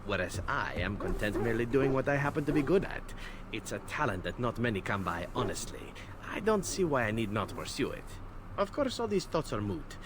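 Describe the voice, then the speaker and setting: An actor playing a callous, suave male elven assassin in a video game, talking smoothly and philosophically about his trade. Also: medium pitch, sing-song, a little fast, noisy background, natural voice. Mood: breezy, candid. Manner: smooth, philosophical, unapologetic, articulate. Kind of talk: video game character dialogue.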